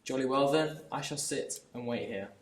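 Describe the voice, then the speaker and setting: A man's voice: medium pitch, moderate pace, reverberant, natural voice. A teenage boy playing a timid, meek grown son who stammers and hesitates. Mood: astonished. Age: teens.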